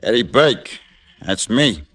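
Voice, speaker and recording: An actor playing an impatient, menacing tough in an old radio crime drama, blunt and threatening. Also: male voice, mid-range voice, lively intonation, slow, a bit bright, husky, full character voice. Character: impatient, menacing male tough demanding a package. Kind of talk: 1940s-50s radio crime drama acting. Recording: old radio broadcast transcription.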